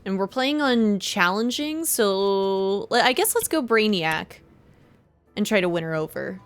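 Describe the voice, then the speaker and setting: Female livestreamer: casual, medium-pitched game commentary and read-alouds, light game music underneath. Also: animated, measured pace. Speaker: game streamer. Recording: faint music behind the voice.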